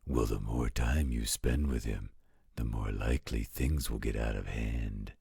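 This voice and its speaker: An actor playing an overprotective, fatherly werewolf guardian in an audio roleplay, with a gravelly, husky voice and a careful, measured delivery. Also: extremely low pitch, mellow, dry recording, clean studio sound, full character voice. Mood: concerned, protective. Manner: slow, measured, careful explaining.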